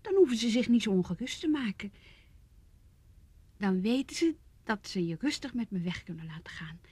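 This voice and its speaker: An actress in a radio play playing a young, manipulative fiancée, pressing and coaxing. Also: female voice, medium pitch, sing-song, moderate pace, a little mellow, slightly rough, no echo. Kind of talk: Dutch radio play acting.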